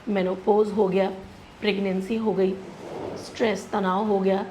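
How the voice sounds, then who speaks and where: A woman's voice: mid-range voice, flat delivery, measured pace, dark tone, a little hiss or hum, low-quality recording. A woman with an Indian accent presents as a gynecologist, giving instructive medical advice directly to the listener and mixing in English terms and tags. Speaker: gynecologist presenting a health channel. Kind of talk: explanatory medical advice to camera.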